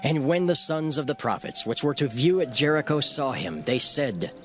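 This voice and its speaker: A man narrates dramatized scripture in a formal storyteller's manner. His mid-pitched voice moves widely and expressively through the archaic text, over clearly audible music. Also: lively intonation, normal speed, a bit bright.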